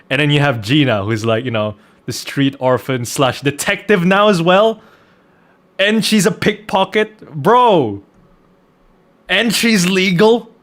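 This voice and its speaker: Animated, slangy male game streamer. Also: fairly high pitch. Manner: slangy, profane, bantering. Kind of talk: casual gameplay commentary.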